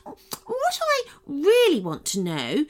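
Spoiled princess girl, very high, screechy, imperious, greedy, storybook character, swooping pitch. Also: wildly swooping pitch, takes it slowly, heavily acted. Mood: snappish. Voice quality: shrill, screechy. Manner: imperious, snapping.

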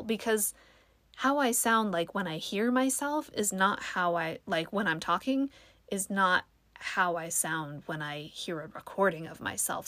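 A woman's voice: medium pitch, a bit bright, no room sound. Young female podcast host, soothing voice, casual filler-heavy chat, close dry mic. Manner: chatty, self-deprecating, lots of fillers.

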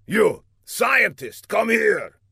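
A man's voice: high voice, big pitch swings, extremely slow, gravelly, bright, forceful tone, slightly rough, no echo, clean studio sound, pitched above the performer's own voice, full character voice. A man voicing a pompous, hot-tempered villain boss who barks threats in an imperious, exasperated way in a comic audiobook. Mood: furious, menacing.

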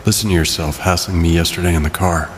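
A man's voice: low-pitched, steady tone, fast, background noise, close to an everyday voice. A man playing a brooding, wry, guarded vigilante in an audio drama, terse and dry. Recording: loud music behind.

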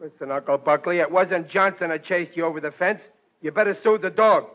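A man acts out a brash American working-class dad from an old radio comedy, pitched high for a man. He wisecracks in slangy, ungrammatical speech. The sound is dull and vintage, like a 1940s broadcast. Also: brisk, close to an everyday voice. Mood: mocking, amused. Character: brash, good-humored working-class husband and father.

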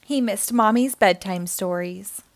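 A woman hosting a storytime, reading a children's picture book aloud in an expressive, theatrical way. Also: medium pitch, a little slow, no room sound. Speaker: storytime host and reader.

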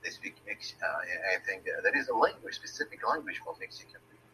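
A man's voice: low-pitched, huge pitch swings, quick pace, gravelly, background noise. Hesitant man. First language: Arabic. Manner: hesitant, many restarts and fillers. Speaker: English learner. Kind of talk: casual conversation practice.

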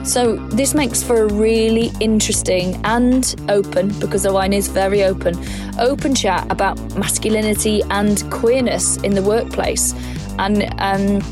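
A woman's voice: medium pitch, fairly even intonation, measured pace, music in the background. Chatty woman hosting. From North Wales. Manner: chatty, self-mocking, laughs between lines. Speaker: podcast host. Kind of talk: scripted podcast intro.

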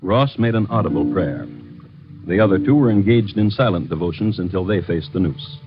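An actor playing a grave, theatrical crime chronicler who narrates in a formal, florid 1950s radio drama style. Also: male voice, low voice, normal speed, lightly acted. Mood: solemn. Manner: formal, portentous, florid storytelling. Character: grave, theatrical male crime chronicler reporting historic cases. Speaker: narrator and host. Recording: muffled old radio recording with music.

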